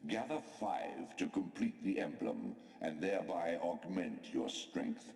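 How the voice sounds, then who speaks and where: A man's voice: low voice, a little slow, creaky, background noise. A man voicing an ancient, solemn otherworldly guide in a video game, speaking in grave, formal, portentous pronouncements. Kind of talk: scripted video game narration. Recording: echoey, with loud background ambience.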